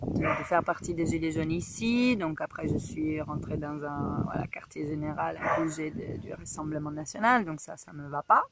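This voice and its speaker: Italian woman, earnest and hesitant, lots of ums, noisy home recording with clicks. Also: low voice. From Italy. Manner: hesitant, many fillers and restarts, earnest. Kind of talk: casual political video message. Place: home in Guadeloupe.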